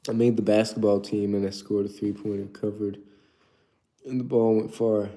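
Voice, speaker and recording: A man's voice: lowish voice, level tone, normal speed, mellow. A young man from Turkey chatting casually on a live stream, reading out viewer questions and rambling through his answers.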